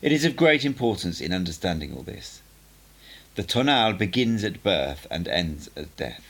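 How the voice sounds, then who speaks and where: An assured, cutting sorcerer teacher, as a male audiobook reader plays him, lecturing on mysteries. The voice is low and gravelly with strongly varied intonation, and every point is laid out deliberately and emphatically. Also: very animated, a bit bright, full character voice. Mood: assured, emphatic. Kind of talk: audiobook character dialogue.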